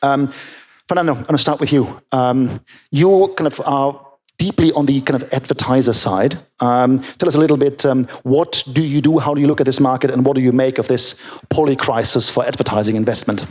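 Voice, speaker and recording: Man, panel moderator, mid-pitched voice, measured, some ums. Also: a little mellow. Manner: measured, occasional fillers.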